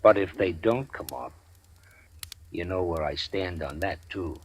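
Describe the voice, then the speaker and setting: A gravelly, folksy gangster. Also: male voice, sits low, animated, unhurried, slight background noise. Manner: drawling, folksy, quietly menacing. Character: wary middle-aged male gangster, ex-preacher. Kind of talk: 1940s radio drama acting.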